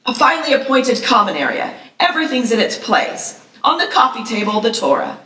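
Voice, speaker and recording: Female narrator for screenplay reading, crisp forceful tone, slightly distant in a roomy space. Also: on the high side, very animated, brisk. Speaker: stage-direction reader. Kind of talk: scripted narration at live table read. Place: live festival stage reading in echoey room. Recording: echoey room, slightly distant mic.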